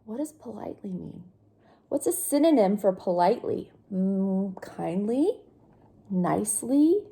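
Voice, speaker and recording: A woman teacher reading a picture book aloud, pausing for simple explanations and questions to young listeners. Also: mid-range voice, big pitch swings, dark tone, husky.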